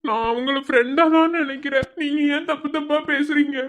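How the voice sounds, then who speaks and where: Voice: high-pitched, fairly even intonation, brisk, a bit bright, a little hiss or hum, pitched above the performer's own voice. Someone playing a meek young college boy with a raised, thin voice that keeps pleading. He sounds close to tears and stretches his words out as he begs in a comic skit. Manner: whiny and pleading, begging "please". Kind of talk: comic sketch acting.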